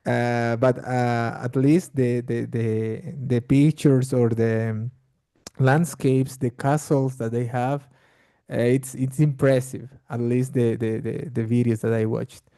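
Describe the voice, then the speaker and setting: Halting, very dark-voiced man. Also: mid-range voice, little pitch movement, clear, resonant tone, no echo, studio quality. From Bolivia. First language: Spanish. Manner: halting, repeats words while searching for them. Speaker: language exchange participant. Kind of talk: casual conversation practice.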